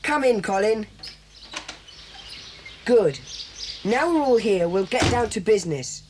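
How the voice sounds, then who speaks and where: A woman's voice: mid-range voice, animated, background noise. A woman playing a bossy schoolboy leader. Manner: brisk, bossy, takes charge. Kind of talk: 1980s audio drama.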